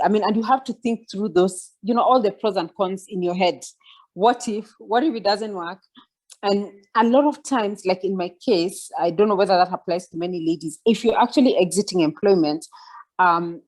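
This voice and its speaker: A woman's voice: mid-range voice, darkish tone. A woman in her forties giving a reflective mentoring talk over a video call, with many fillers and restarts. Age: 40s. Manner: reflective, many fillers and restarts, asks listeners to follow along. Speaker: guest speaker.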